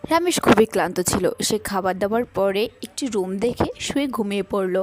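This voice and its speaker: A woman's voice: mid-range voice, animated, fairly quick. A woman narrator reading a scripted fairy tale in a storytelling style. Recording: music under the voice. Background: background music.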